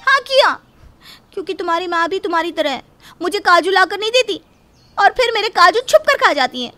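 A woman's voice: very high-pitched, sing-song, fairly quick, sharp tone, faint music behind, full character voice. A woman voicing a quarrelsome, possessive young married woman in a cartoon family drama, scolding emphatically. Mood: angry, accusing.

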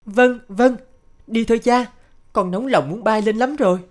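A man's voice: sits high, moderate pace, natural voice. A man playing an eager, naive young son in a cartoon. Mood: excited. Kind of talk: cartoon dub acting.

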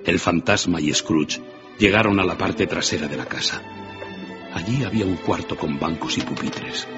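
Very deep male narrator. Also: normal speed. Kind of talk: scripted dramatic storytelling narration. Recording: muffled radio broadcast with prominent music.

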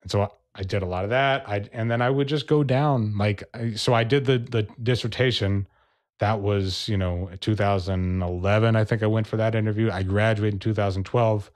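A man speaking as a podcast guest, a relaxed storyteller in casual interview chat. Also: on the low side, moderate pace. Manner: relaxed storyteller, acts out quoted people. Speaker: percussionist and educator, podcast guest.

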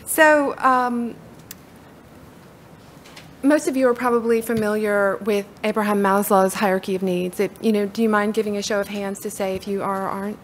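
A middle-aged woman from Appalachia with a soft-spoken Appalachian accent, giving a conference talk from a podium in a hall. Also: medium pitch, flat delivery, moderate pace, slight background noise. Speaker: conference speaker. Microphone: podium mic from far camera.

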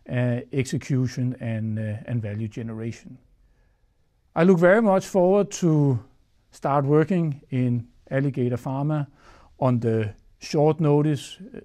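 A man's voice: mid-range voice, mellow. A man, a chief executive giving an earnest corporate address with frequent fillers, recorded on a lapel mic in a studio. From Denmark. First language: Danish. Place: studio against a dark backdrop.

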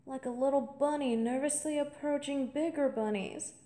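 A woman playing a confident, playful college senior in an intimate, seductive audio roleplay monologue, teasing and at times breathy. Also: sits high, moderate pace, mellow, reverberant, close to an everyday voice. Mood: teasing, flirty.

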